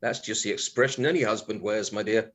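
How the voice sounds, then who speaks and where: An actor playing a cheeky, henpecked middle-aged British husband in a comic radio play, confiding in a mock-apologetic way full of innuendo. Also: male voice, fairly low pitch, a little fast, fairly bright tone, close to an everyday voice. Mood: sheepish, placating. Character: cheeky, henpecked, sex-starved husband with a telescope.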